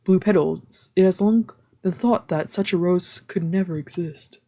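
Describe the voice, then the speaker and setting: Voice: mid-range voice, unhurried, a little mellow. A streamer reading a visual novel aloud as storytelling narration, with casual musing asides. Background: visual novel background music.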